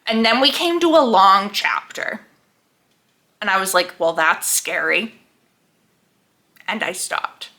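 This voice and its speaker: A young woman talking casually to camera about what she is reading, chatty and quick to laugh, acting out her own reactions. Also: medium pitch, big pitch swings, pushed and bright. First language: English. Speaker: book vlogger.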